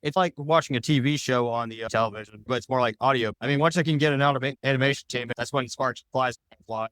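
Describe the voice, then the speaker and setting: Male podcast guest, very quick and rambling, crisp smooth tone, casual answers. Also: mid-range voice, a bit bright, no room sound. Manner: rambling, loosely phrased explanations. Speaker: podcast guest, audio drama creator.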